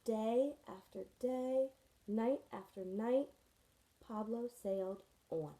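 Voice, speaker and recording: A woman's voice: fairly high pitch, takes it slowly, mellow. A woman teacher reading a children's picture book aloud to her students, storytelling expressively with friendly asides.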